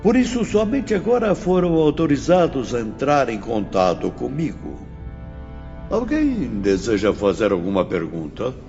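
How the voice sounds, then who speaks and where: An actor as a solemn teacher, hugely animated delivery. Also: male voice, mid-range voice, gravelly. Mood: solemn, welcoming. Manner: solemn, oratorical, emphatic, measured. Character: stern, learned male spirit teacher lecturing his pupils. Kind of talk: dramatised audiobook acting. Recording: muffled, long reverb, music behind.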